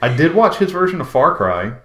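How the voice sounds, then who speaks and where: A man's voice: fairly high pitch, big pitch swings, brisk, bright, forceful tone. Rambling man in an echoey room. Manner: rambling, uses 'like' often. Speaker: podcast co-host. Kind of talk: casual conversation about films. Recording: roomy, echoing voice pickup.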